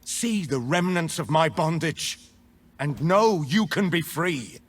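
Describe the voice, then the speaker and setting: A man playing a defiant rebel mage leader in a video game, speaking theatrically with a rough, husky voice. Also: on the high side, animated. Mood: menacing. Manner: slow, deliberate, theatrical. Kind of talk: video game character voice lines.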